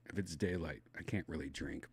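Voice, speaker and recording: Deep voiced guy from wisconsin, slight lisp, mumbly rambling stream chat, dry close mic. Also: moderate pace, husky, no echo. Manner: loose, mumbling, trails off into quiet asides. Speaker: livestream host reading chat.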